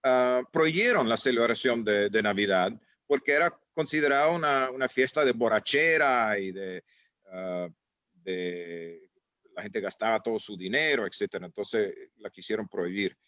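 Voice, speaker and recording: A man's voice: lowish voice, moderate pace, fairly bright tone, dry recording, muffled recording. A man who grew up in Italy and France, moderating a casual panel discussion and rambling with many fillers and restarts.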